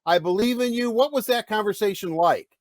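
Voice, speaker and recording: A middle-aged man hosting an interview, asking long, rambling questions in a big, loud voice. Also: sits high, brisk, dry recording, studio quality. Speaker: radio host.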